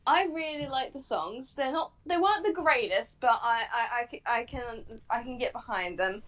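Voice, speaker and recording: A woman with a somewhat high voice chats casually on a panel. She speaks haltingly, often doubling back on her words as she gives an opinion. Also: a bit bright. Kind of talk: casual chat.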